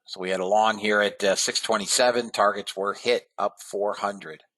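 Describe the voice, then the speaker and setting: A man hosting a live trading webinar, talking casually to his viewers and calling them guys and fellas, a bit hoarse. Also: lowish voice, fairly even intonation, normal speed, a bit bright, rough recording. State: slightly hoarse voice. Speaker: trading room host.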